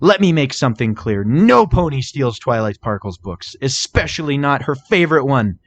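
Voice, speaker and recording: A man's voice: mid-range voice, very animated, moderate pace, a little hiss or hum, voice pushed up. A man voicing a small young male dragon, fiercely protective of friends, in a story reading. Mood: furious.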